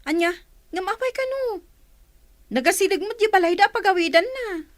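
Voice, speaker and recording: A woman's voice: very high-pitched, animated, moderate pace, no room sound, studio quality. A woman playing an inquisitive, sympathetic aunt in a radio drama, with an Ilocano accent. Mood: suspicious.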